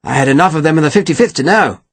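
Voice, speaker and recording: Captain: man playing a high, husky, peevish officer, all stiff grievance. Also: animated, racing, dry recording, heavily acted. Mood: indignant. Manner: prim, complaining, sticks "sir" on everything. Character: fussy, indignant male army captain.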